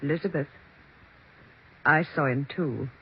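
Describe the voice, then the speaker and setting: An actress playing a solemn, insinuating middle-aged sister-in-law in a 1940s radio drama, measured and insistent. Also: female voice, sits low, gravelly, breathy edge, full character voice. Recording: muffled, faintly noisy 1940s radio.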